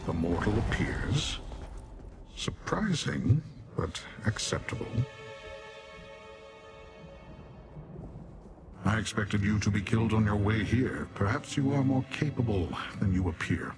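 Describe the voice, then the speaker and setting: An actor playing a cold, haughty demon warrior in a video game, speaking formally with measured, deadpan condescension. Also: male voice, lowish voice, over music. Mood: disdainful. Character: haughty male Dremora demon warrior commander, coldly disdainful of mortals. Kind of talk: video game character dialogue.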